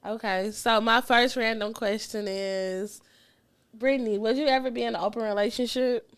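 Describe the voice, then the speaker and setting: A woman co-hosting a podcast in casual chat, congested with a cold, animated and swearing freely. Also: on the high side, normal speed, smooth. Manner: animated, swears freely, restarts mid-sentence. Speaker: podcast co-host.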